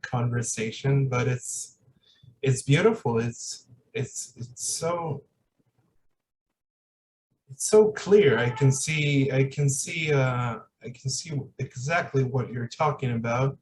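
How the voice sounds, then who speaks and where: A man's voice: mid-range voice, slow pace, a little mellow, distant mic. A man hosting a podcast in casual, reflective conversation, hesitant and searching for his words. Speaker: podcast host.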